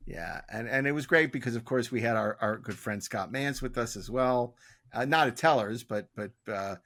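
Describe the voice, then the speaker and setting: A man's voice: mid-range voice, normal speed, fairly bright tone, dry recording, clean studio sound. A man hosting a podcast, chatting casually and jokily in a rambling way as he promotes upcoming events. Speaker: podcast host.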